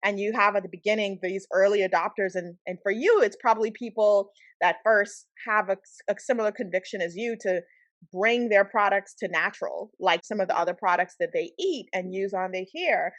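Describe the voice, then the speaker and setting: A woman from the Bahamas hosting a podcast, chatting in a lively, affirming way with frequent laughs. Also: medium pitch, fairly quick, no room sound, dull audio. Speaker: podcast host. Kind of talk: casual podcast interview.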